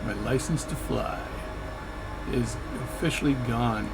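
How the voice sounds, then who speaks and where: A man's voice: medium pitch, a little slow, creaky, over music, low-quality recording. A man narrating his flight sim session in a chatty, rambling way, often correcting himself mid-sentence. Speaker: flight sim video creator. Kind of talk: casual narration while playing a flight sim.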